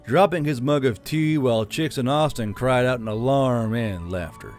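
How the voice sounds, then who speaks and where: A man narrating a fantasy novel in a steady, measured reading. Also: mid-range voice, soft background music. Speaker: audiobook narrator. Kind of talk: scripted narration.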